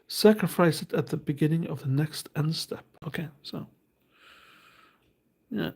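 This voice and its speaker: A man's voice: fairly high pitch, measured pace, creaky, darkish tone, husky. A man, hosting a casual unboxing and reading card text aloud in a hesitant, thinking-aloud way. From Norway. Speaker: host.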